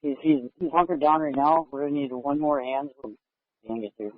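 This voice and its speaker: A man's voice: on the high side, fairly quick, no echo. A man working as a police officer, reporting tersely from the field over radio. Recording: thin, phone-like police radio transmission.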